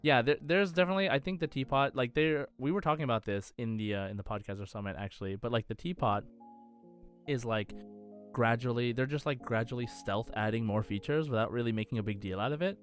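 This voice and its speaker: A man's voice: mid-range voice, quick pace, soft background music. A man co-hosting a gaming podcast in casual, enthusiastic, rambling chat. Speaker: podcast co-host and game streamer.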